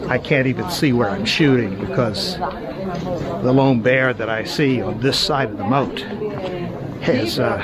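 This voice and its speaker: A middle-aged man hosting a travel show, narrating in a relaxed, conversational way with small hesitations as he walks around outdoors. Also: mid-range voice, unhurried. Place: outdoors in a busy tourist town. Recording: crowd chatter behind the voice. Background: crowd of tourists.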